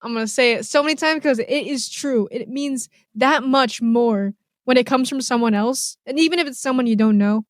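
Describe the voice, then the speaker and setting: A teenage woman encourages her friends on a podcast, repeating her point for emphasis. Her voice sits somewhat high. The sound is close and dry. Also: normal speed, dry recording. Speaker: podcast co-host. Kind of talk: casual chat among friends.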